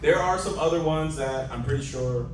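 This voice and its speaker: A man hosting a casual movie panel, speaking in an informal, animated way. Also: fairly high pitch, normal speed. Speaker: panel host. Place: large convention panel room. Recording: echoey hall, distant mic, crowd noise.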